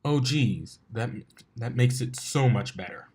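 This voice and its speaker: A man's voice: medium pitch, lively intonation, unhurried, mellow, husky. Man as a cocky, grumbling pegasus stallion. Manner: casual, sarcastic.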